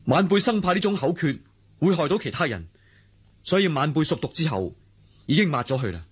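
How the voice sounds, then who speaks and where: An actor with a Hong Kong Cantonese accent playing an earnest, respectful young swordsman in a radio drama, excitable and polite. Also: fairly high pitch, brisk, slightly rough. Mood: respectful, puzzled.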